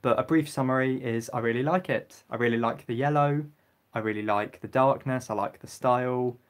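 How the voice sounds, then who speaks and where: A young man hosting a casual live stream, reading out viewer comments and answering them with frequent ums, his throat getting sore so that he clears it and coughs now and then. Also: mid-range voice, fairly quick.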